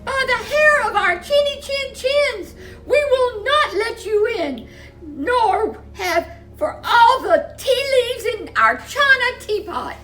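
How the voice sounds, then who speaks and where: Tiny wolf cubs from a storybook, way high childlike voice, sing-song, timid but defiant. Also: female voice, expressive, slow, pushed and bright, faint music behind, full character voice.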